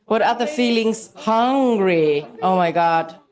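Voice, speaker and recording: Woman conference moderator, deliberate pace, loud. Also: mid-range voice. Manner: loud, addresses audience directly. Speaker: congress moderator. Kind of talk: live plenary moderation. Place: large conference hall.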